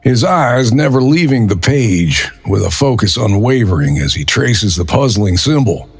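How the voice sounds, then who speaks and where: A man's voice: on the low side, very animated, moderate pace, breathy edge. A man narrating a detective audio drama in a brooding, dramatic storytelling manner. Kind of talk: scripted noir narration.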